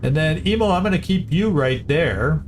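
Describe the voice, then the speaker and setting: A man's voice: a little high, animated, normal speed, slight background noise. A man commentating a strategy game, thinking aloud with hesitant restarts as he plans each move. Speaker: gameplay commentator. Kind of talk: casual let's-play commentary. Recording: game music playing behind.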